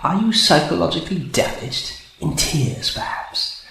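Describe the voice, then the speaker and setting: Radio-drama villain: taunting clawed robot, acted by a man, animated and needling, recorded in a roomy space with faint noise. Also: fairly high pitch, measured pace, creaky, full character voice. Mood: smug. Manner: gloating, mock-sweet needling. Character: sadistic, taunting male robot hunter with claws.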